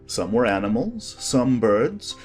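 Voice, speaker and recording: An audiobook narrator reading a children's story in a measured, expressive scripted style. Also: mid-range voice, soft background music.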